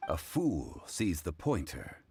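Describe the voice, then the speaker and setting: An actor playing a composed, learned gentleman with an old soul in a video game, speaking formally and philosophically. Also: rumbling low, huge pitch swings, gravelly, full character voice. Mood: calm, instructive. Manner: formal, measured, philosophical, lecturing. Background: game music.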